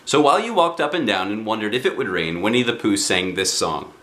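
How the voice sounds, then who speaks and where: A man's voice: fairly low pitch, expressive, a little fast, a bit bright, a little hiss or hum. A man reading a children's book aloud as narrator. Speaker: storybook reader.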